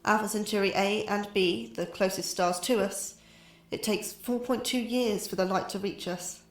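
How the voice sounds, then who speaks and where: An actress playing a young astrobiologist, anxious and wry, with a dry, scholarly way of talking. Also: female voice, medium pitch, steady tone, moderate pace, a little hiss or hum, natural voice. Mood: wry, lecturing. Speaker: lecturer. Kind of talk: scripted lecture in audio drama. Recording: roomy echo, faint music behind.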